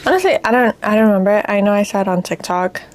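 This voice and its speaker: A woman with a raspy voice, a little congested from allergies, chatting casually and hesitantly about books as a vlogger. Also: mid-range voice, expressive, fast talker, a little hiss or hum. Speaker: book vlogger. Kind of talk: casual book chat.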